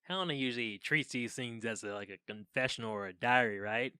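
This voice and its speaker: A man's voice: medium pitch, a little fast, pushed and bright, clear, resonant tone, dry recording, studio quality, lightly acted. An actor playing a flustered, lovelorn young man who rambles awkwardly, as if confiding to podcast listeners in an audio drama. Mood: resigned, confiding. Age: young adult.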